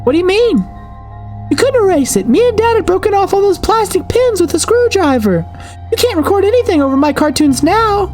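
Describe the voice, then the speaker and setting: A woman voicing a stubborn, excitable little sister, childish and insistent, reading video game dialogue aloud. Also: very high voice, brisk, faint music behind, pitched above the performer's own voice. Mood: doubtful.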